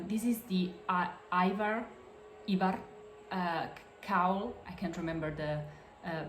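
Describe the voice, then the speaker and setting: A woman with an Italian accent talks slowly through her knitting projects, her English halting and full of fillers and restarts. Her voice sits a little low, and the room around her rings with echo. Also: a little hiss or hum. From Italy. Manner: hesitant, halting, many fillers and self-corrections. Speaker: podcast host. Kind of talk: casual show-and-tell of crafts. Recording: echoey room.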